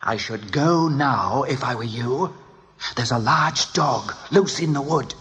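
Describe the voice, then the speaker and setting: A calm rabbit voice from memory gives an ominous warning in slow, measured repetition. Long reverb surrounds it in this radio play. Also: male voice, medium pitch. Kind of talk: 1980s radio play acting.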